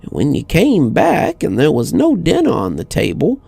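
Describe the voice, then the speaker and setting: Man voicing a high-pitched, bitter old woman. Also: huge pitch swings, normal speed, gravelly, mellow, breathy edge, no room sound, exaggerated voice. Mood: bitter, reproachful. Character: frail, bitter old widow confessing to murder. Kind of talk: acted horror story dialogue.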